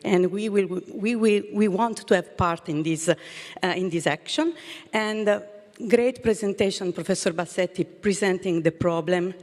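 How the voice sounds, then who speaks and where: Italian-accented woman thanking conference speakers. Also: medium pitch. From southern Italy. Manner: halting, many fillers, warm thanks. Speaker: pharma general manager giving closing remarks. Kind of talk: closing speech at a conference. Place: conference hall. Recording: long reverb of a conference hall.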